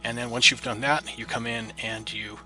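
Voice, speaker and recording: A man's voice: medium pitch, fairly even intonation, moderate pace, pushed and bright, soft background music. A man giving a casual, step-by-step tutorial narration in a plain instructional way. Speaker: presenter of a genealogy tips tutorial.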